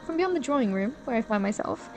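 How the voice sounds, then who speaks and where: Audio drama heroine woman acting: proper, caring young gentlewoman, lightly high voice, formal period phrasing, set against clear music. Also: animated, quick pace. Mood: composed, recounting. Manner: formal, genteel storytelling phrasing. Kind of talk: first-person audio drama narration.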